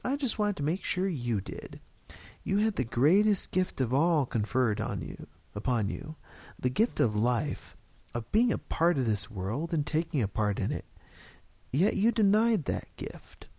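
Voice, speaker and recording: A man voicing a mysterious little wish-granting stranger in a story, lecturing slowly and emphatically with indignation. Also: fairly high pitch, very animated, a little mellow, no echo, pitched above the performer's own voice, heavily acted. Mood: stern lecturing. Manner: slow, emphatic, scolding.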